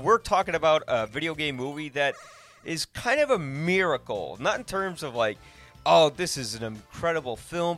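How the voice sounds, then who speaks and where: A man hosting a podcast in a casual, rambling way, often halting and correcting himself. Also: on the high side, a bit bright. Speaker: podcast host.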